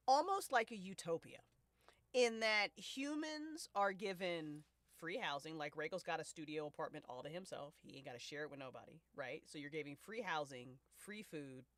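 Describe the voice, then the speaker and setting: Bright-voiced, emphatic woman, close and dry. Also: lowish voice, no room sound. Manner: emphatic, informal, swearing. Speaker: fiction podcast creator. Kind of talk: casual, animated discussion.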